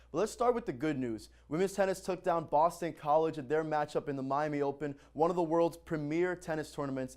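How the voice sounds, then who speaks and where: A man's voice: on the high side, a little mellow. A young man co-anchoring a student sports roundup, upbeat and reading from a script. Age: young adult.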